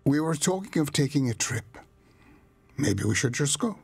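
A smooth, persuasive secret lover. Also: male voice, on the high side, big pitch swings, normal speed, a little mellow, exaggerated voice.

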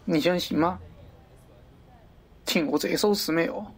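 A man's voice: fairly high pitch, a little slow, gravelly, breathy edge, exaggerated voice. A man playing a pompous middle-aged wholesale market director in a parody radio drama, avuncular and lecturing, crude yet fond of poetry. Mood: grandly reciting. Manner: avuncular, lecturing, theatrical, abruptly swearing.

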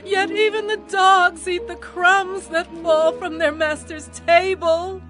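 A woman's voice: very high-pitched, flat delivery, slow pace, fairly bright tone, soft background music, heavily acted. An actress playing a desperate, humble mother pleading persistently in a dramatized Bible reading.

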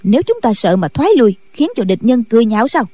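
A woman's voice: on the high side, very animated, fast talker, warm tone, no echo. A woman voicing a stern, impatient senior Buddhist nun who speaks in curt commands.

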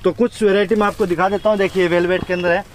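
Shop owner, male, high-pitched voice, pushy pitch patter over faint street noise. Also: flat delivery, fast. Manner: salesy, repeats prices and "see this". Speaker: wholesale lehenga shop owner. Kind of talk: sales pitch on a shop tour.

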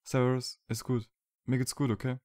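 A man's voice: medium pitch, little pitch movement, normal speed, warm tone, dry recording, clean studio sound, natural voice. A man acting a stubborn, wounded, emotionally open young wizard in a fan audio drama, speaking earnestly and colloquially. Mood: gentle, reassuring.

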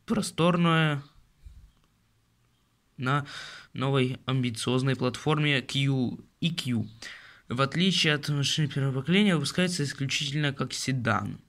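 A man's voice: medium pitch, a little slow, fairly bright tone. A man casually reviewing a car magazine at home, rambling, grumbling and talking to his viewers. He records himself on a phone held close over his desk.

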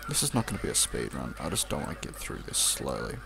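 Deep, creaky Australian man commentating a game. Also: huge pitch swings, quick pace, over music. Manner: rambling, offhand muttering, swears casually. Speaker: let's play commentator. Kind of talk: casual off-the-cuff video game commentary.

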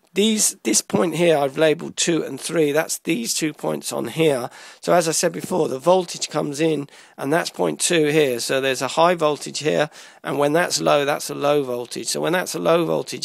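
A man giving an informal electronics tutorial, explaining step by step in a halting way, often repeating and rephrasing himself. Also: on the high side, gravelly, no room sound. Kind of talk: informal explanatory lesson walking through a diagram.

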